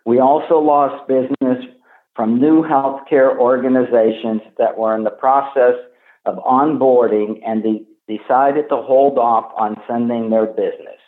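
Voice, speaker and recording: Over a narrow, echoing phone line, a man delivers a scripted financial presentation. His pitch sits in the middle range, and he reads formally from prepared remarks in the role of a company executive. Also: fairly even intonation, unhurried. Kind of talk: scripted earnings call presentation. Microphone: telephone conference call. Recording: tinny phone line with echo.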